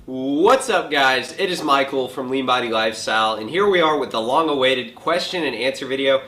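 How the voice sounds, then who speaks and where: A twenty-year-old man hosting a casual question and answer vlog from a home kitchen, relaxed and joking, with lots of filler likes. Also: mid-range voice, normal speed, soft background music. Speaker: fitness vlogger answering viewer questions. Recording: echoey room.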